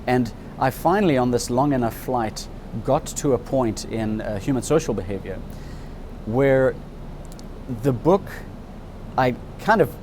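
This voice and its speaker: A candid man in his fifties recounts his past in a thoughtful, earnest way. His voice sits at an ordinary middle pitch. A steady rumble of outdoor traffic hums clearly behind him. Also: measured pace. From Zimbabwe. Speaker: interviewee, subscriber. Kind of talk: personal storytelling in an interview.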